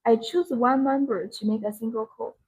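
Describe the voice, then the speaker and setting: Non-native english lady doing a live product demo, kinda high voice w/ lots of creak, repeats herself in simple phrases. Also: fairly even intonation, normal speed, darkish tone, poor audio. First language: not English. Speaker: live-stream sales presenter. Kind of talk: live product demonstration sales talk.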